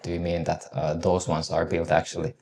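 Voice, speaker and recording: Very deep, flat-voiced man asking rambling questions. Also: fairly quick. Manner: rambling, heavy use of "like" and restarts. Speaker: podcast host, interviewer. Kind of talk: casual interview questions.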